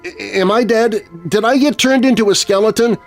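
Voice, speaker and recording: A man's voice: sits high, normal speed, faint music behind, voice pushed up. A man voicing a curious, frightened young boy in a spooky children's story, with panicky exclamations. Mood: anxious.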